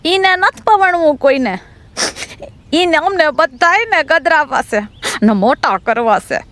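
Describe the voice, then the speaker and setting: A high girlish voice , acted by a woman, from a dramatised storybook. She sounds tearful and resentful, with a rural Gujarati accent. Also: expressive, unhurried, fairly bright tone. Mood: angry, tearful. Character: angry, weeping village girl complaining about her sister.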